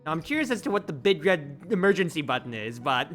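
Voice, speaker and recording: Animated, bright-voiced game streamer over music. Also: medium pitch, quick pace. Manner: animated, theatrical when reading, exclamatory. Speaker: streamer, host. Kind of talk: game stream commentary with expressive reading aloud of game text.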